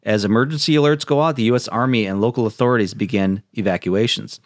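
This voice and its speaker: A man with a fairly low voice hosts a podcast in a casual way. He moves between reading prepared notes and chatting about them. The sound is dry, with little room on it. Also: fast, no echo. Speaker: podcast host.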